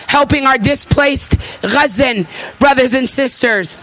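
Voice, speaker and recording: High, bright-voiced impassioned woman activist. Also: a little slow. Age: 30s. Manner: emphatic, repetitive, emotional. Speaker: activist speaker at a rally. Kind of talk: impassioned rally speech. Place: at a rally before an audience.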